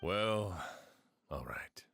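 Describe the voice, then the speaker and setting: An actor playing a weary, fair-minded middle-aged sheriff in a video game, speaking with deliberate authority. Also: male voice, deep voice, animated, creaky, a bit bright, slight background noise, full character voice. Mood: accepting, kind.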